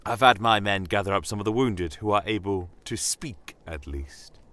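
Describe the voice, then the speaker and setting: A man playing a stern, proud commander of a fantasy empire, telling his own tale in a measured, formal, brooding manner. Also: lowish voice, expressive, fairly bright tone, pitched above the performer's own voice. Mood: commanding, stern. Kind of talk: first-person fantasy audio storytelling.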